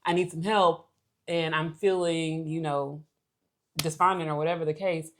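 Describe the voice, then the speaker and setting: Low-voiced woman, casual relationship talk. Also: steady tone, normal speed, slight background noise. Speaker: co-host of a relationship podcast. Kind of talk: casual conversational explaining.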